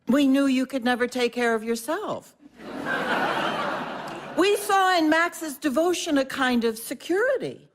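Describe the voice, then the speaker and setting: A woman's voice: high-pitched, animated, unhurried, pauses often, noisy background. An actress playing an imperious, blunt older mother in an audio drama, haughty, dismissive and emphatic. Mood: dismissive, scornful.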